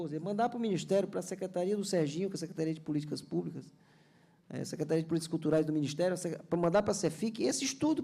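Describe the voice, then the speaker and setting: A man's voice: sits high, creaky, mellow. A man from Ceará in Brazil, a government culture official giving an earnest, explanatory seminar panel speech with many restarts. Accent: Brazilian Portuguese, Ceará.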